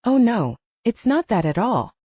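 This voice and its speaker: A woman reads as a pregnant human heroine in an audiobook, earnest and careful. The voice is mellow with lively rises and falls, recorded dry. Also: a little high, unhurried, breathy edge, no echo, muffled recording, voice pushed up, heavily acted. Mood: careful, homesick.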